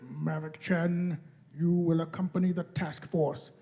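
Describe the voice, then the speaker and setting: A man's voice: on the high side, level tone, unhurried, vocal fry, warm tone. A man playing a cold, menacing alien war machine commander in a radio play, issuing clipped orders. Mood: commanding. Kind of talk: radio play performed by amateur players.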